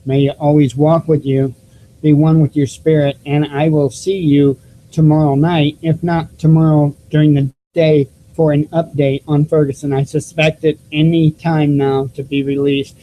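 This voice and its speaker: Squeaky-voiced man rambling to his viewers. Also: level tone, normal speed, dark tone, rough recording. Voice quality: squeaky voice, self-described. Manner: rambling, many restarts and fillers. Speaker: YouTube news channel host. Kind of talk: casual vlog talk to viewers. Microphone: cheap desktop microphone.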